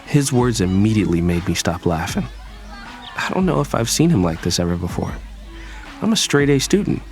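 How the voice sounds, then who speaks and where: An actor playing a cheeky young student son and brother in an audio drama, playful but sincere, with reflective first-person narration. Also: male voice, fairly low pitch, sing-song, fairly quick, a little mellow. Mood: reflective, warm. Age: young adult. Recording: music prominent behind voice.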